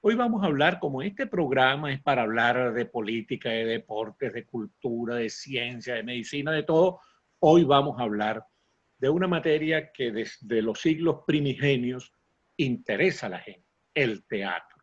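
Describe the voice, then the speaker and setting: A man hosting a cultural interview in a conversational but formal way, with florid, deliberate phrasing. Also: medium pitch, slightly rough, highs cut off. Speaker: talk show host and interviewer.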